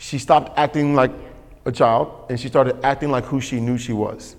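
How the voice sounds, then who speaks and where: A man's voice: medium pitch, steady tone, moderate pace. A man preaching a sermon as pastor, emphatic and repetitive, calling for responses from the congregation. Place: church sanctuary. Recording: long hall reverb.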